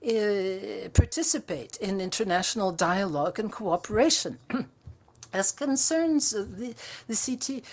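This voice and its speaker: A woman interpreting a conference speech live, halting often with fillers and restarts. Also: mid-range voice, lively intonation, darkish tone. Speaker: simultaneous interpreter.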